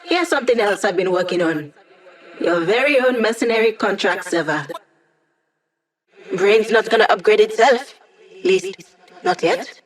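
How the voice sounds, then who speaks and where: A woman's voice: medium pitch, lively intonation, measured pace, long pauses, close to an everyday voice. A quirky young hacker from a video game, played by a woman, a digital being with an upbeat streak. She speaks in a mid-range female voice, chatty and playful, with a wry edge to her delivery. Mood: playful, teasing. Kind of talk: video game character dialogue.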